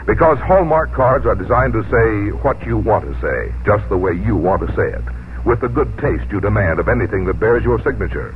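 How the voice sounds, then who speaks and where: A man's voice: lowish voice, big pitch swings, normal speed, a little hiss or hum. A man working as a 1950s radio announcer, reading scripted copy in a polished, formal delivery. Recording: muffled 1950s radio recording.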